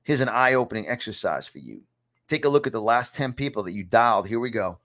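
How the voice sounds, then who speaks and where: A punchy motivational coach in his fifties, talking in a New York accent in a middle-pitched voice. He hammers his points home, repeating lines for emphasis. The sound is from a small home studio. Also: lively intonation, a little fast, a bit bright. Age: 50s. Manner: emphatic, repeats phrases for effect. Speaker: success coach. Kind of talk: motivational lesson to camera.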